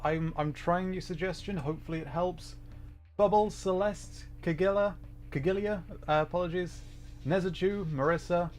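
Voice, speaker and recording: A man hosting a casual gaming livestream, chatting informally and apologetically with viewers. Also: a little high. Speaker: livestream host, VTuber. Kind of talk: casual gaming stream chat, greeting viewers.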